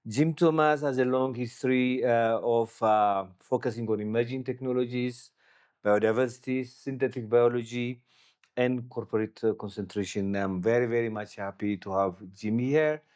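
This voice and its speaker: A man with a mid-pitched voice hosts a podcast interview. He encourages his guest and keeps up steady murmurs of agreement. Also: moderate pace, clean tone. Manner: encouraging, frequent backchannel murmurs. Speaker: podcast host. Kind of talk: interview hosting.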